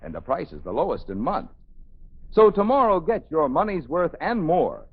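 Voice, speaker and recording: A man's voice: fairly high pitch, very animated, moderate pace, husky. An American man reading a scripted 1950s radio commercial as an announcer. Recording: narrow, tinny 1950s radio recording.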